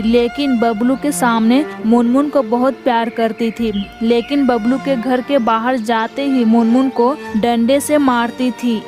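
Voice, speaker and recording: A woman narrates a scripted story for children. Her voice is on the high side. Music plays clearly behind the narration.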